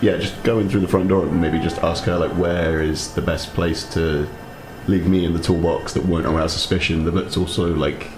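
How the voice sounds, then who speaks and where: A man's voice: sits low, steady tone, moderate pace, hardly any pauses. A man playing a tabletop role-playing game, talking through his moves in casual chat. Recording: roomy, echoing sound with noticeable noise.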